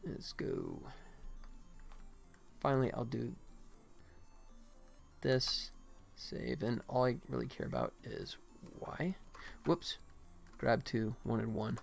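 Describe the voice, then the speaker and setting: Male game-dev streamer, mid-pitched, off-the-cuff and self-muttering, music underneath. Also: slow, creaky, long pauses. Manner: muttering to himself, then chatting to viewers. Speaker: game developer live streamer. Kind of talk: casual live stream chat while working.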